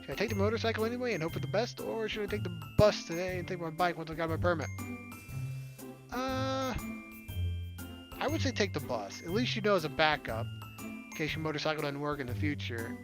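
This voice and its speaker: A man with a fairly high voice reads a visual novel aloud for a let's play. His delivery is casual and first-person, and loud game music runs underneath. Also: expressive, fairly quick, long pauses. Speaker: let's player.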